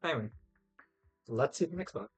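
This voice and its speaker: A man's voice: fairly high pitch, expressive, a little fast, creaky, slightly rough, muffled recording. A man giving casual live gameplay commentary, thinking aloud in a musing, hesitant way. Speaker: game streamer. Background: faint game music.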